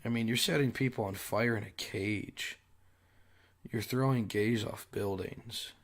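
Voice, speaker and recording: A young adult man hosting a solo commentary show while sick and losing his voice, clearing his throat often and pausing on fillers as he restarts his sentences. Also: fairly low pitch. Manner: halting, restarts mid-sentence. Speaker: podcast host.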